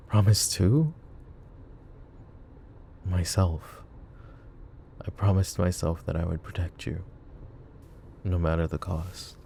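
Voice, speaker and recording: A man playing a possessive, protective mafia boss in an intimate ASMR roleplay, speaking in a hushed, deliberate, tender way that often dips toward a whisper. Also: low voice, creaky, a little hiss or hum, full character voice. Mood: calm, protective. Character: possessive, protective male mafia boss, smooth menacing devotion.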